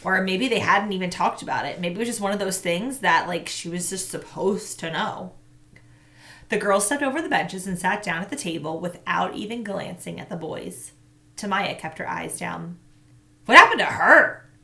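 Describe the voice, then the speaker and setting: A woman's voice: normal speed, creaky. A woman in her thirties, a teacher, reading a children's novel aloud at home into a laptop webcam, lifting her voice for the characters' lines. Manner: expressive, pitches up sharply for characters' shouted lines. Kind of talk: children's book read-aloud. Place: small home room indoors. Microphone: laptop webcam mic.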